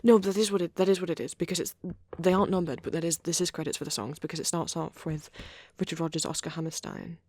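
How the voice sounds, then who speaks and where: A woman voicing a shy vampire boy who rambles gently in an ASMR roleplay, soft-spoken and hesitant, slipping into breathy whispers. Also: lowish voice, fairly even intonation, quick pace, few pauses, dry recording, lightly acted. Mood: puzzled.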